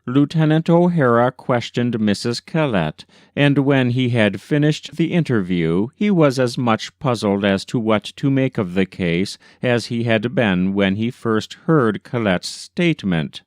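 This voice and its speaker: A man's voice: medium pitch, animated, measured pace, few pauses, a little mellow, no echo, clean studio sound. A man reading an old newspaper story aloud as scripted narration. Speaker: volunteer reader.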